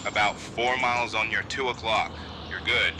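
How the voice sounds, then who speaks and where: A man's voice: fairly low pitch, steady tone, moderate pace, noisy background, lightly acted. A man playing a watchful control-room operator in a found-footage horror film, giving clipped, businesslike position reports. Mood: alert.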